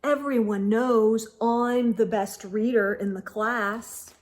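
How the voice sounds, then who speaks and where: Woman as self-satisfied schoolboy, boastful, fairly high voice, slow, storybook read-aloud. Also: steady tone. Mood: smug.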